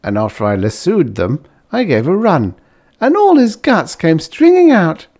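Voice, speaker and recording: A man's voice: fairly high pitch, huge pitch swings, unhurried, a little mellow, voice pushed up, full character voice. A man playing an earnest, upbeat, proud pioneer husband in an audiobook story, eager and a little boastful.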